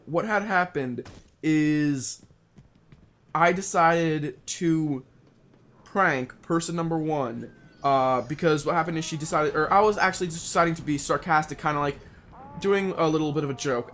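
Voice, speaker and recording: A young man telling a casual, unscripted story in a rambling, halting way, often rephrasing himself as he goes. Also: a little high, a bit bright. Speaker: online video storyteller.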